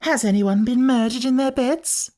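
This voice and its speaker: A prim little girl from a children's storybook, put on by a woman. She sounds eager and curious, pitched well up. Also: moderate pace, mellow, natural voice. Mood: thrilled.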